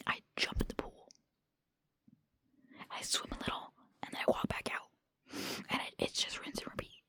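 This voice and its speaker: A woman's voice: sharp tone, dry recording. A young woman whispering a rambling late-night vlog into her phone at home, casual and full of swearing. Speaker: vlogger.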